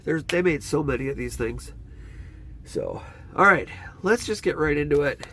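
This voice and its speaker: A man with a stuffed-up nose chatting casually through his movie collection as a vlogger, rambling and talking in a lively way. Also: fairly high pitch, moderate pace, slight background noise. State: congested nose.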